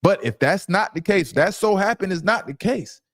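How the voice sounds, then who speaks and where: Male sports commentator, emphatic and opinionated, lively intonation, very dry recording. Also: sits high, normal speed, no room sound. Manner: emphatic, insistent, repeats phrases for stress. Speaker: sports commentator and show host. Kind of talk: opinionated sports reaction talk.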